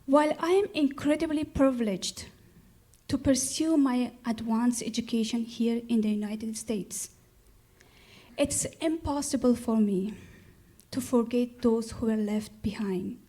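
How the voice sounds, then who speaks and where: Slow, solemn young Afghan woman speaking. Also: on the high side, steady tone, dark tone. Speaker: graduate student and storyteller. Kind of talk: speech to a live audience.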